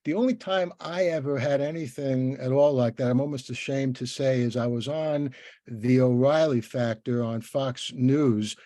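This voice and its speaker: Casting: older man as interview host, effusive and discursive, with a relaxed dark tone and very dry sound. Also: mid-range voice, normal speed, no room sound. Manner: effusive, rambling. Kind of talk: conversational interview.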